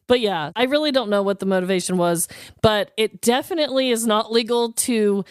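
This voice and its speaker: A woman co-hosting a podcast in casual, comedic chat, with a chatty, giggly, animated manner. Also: a little high, a little fast, clear, resonant tone, no room sound, clean studio sound. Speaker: podcast co-host.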